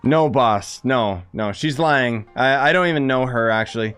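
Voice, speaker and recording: A man's voice: medium pitch, moderate pace, fairly bright tone, smooth, faint music behind. A man gives casual, blunt, grumbling commentary as a gaming streamer playing through a game.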